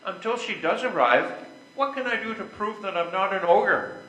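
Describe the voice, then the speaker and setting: Casting: older man playing brash wayward husband for an amateur stage reading; high-pitched, blustering, slightly halting, in an echoey room. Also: lively intonation, bright, forceful tone, soft background music. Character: brash, self-justifying wayward husband in 1900s. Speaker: amateur readers-theater player.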